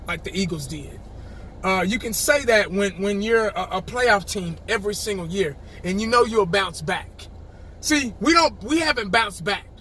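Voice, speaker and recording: Hoarse, passionate high-voiced man fan. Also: bright, forceful tone, a little hiss or hum. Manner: passionate, repeats words when starting sentences. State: slightly hoarse, scratchy voice. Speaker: sports fan vlogger. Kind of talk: casual fan rant to camera.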